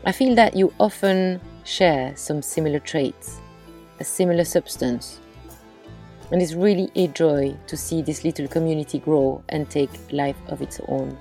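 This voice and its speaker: A woman hosting a storytelling podcast, reading scripted narration in a measured, deliberate way that slows on key words. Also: sits low, mellow, faint music behind.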